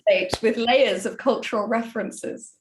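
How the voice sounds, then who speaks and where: A woman's voice: fairly high pitch, moderate pace, slight background noise, low-quality recording. A woman chatting casually on a podcast in a wry, conversational manner. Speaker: writer and podcast co-host.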